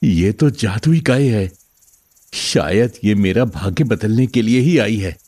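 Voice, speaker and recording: A man playing a kind, honest farmer in an animated moral story, earnest and plain-spoken. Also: on the low side, slow, breathy edge, dry recording, exaggerated voice. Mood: hopeful wonder. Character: kind, honest poor farmer grown rich.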